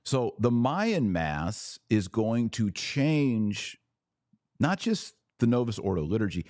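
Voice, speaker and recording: A man with a fairly low voice lecturing on a podcast, pressing his points through steady repetition. The sound is close and dry, with no room around it. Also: dry recording. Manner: emphatic, lecturing, repeats points for stress. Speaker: podcast host. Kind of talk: podcast commentary on church liturgy.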